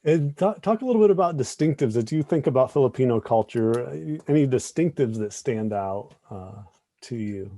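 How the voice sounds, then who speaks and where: A man's voice: mid-range voice, lively intonation, moderate pace, dry recording. A man, a pastor, moderating an online church panel and thanking guests as he introduces each one. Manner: warm thanks, gentle prompting questions.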